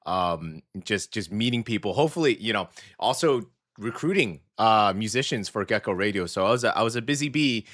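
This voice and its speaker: Male morning radio host, hoarse gravelly voice, casual upbeat patter. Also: on the low side, lively intonation, brisk, fairly bright tone. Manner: upbeat and chatty, with many fillers. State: hoarse, scratchy after a weekend out.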